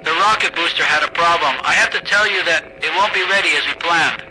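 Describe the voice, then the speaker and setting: An actor playing a reassuring, apologetic male mission controller in a radio drama, speaking in a measured, placating way. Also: on the high side, bright, forceful tone, a little hiss or hum, low-quality recording.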